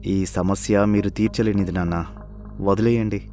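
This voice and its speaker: A man's voice: low voice, fairly even intonation, normal speed, warm tone, soft background music, natural voice. A man playing a weary, dejected grown son, resigned yet respectful, in a cartoon moral story. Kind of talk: animated moral-story voice acting.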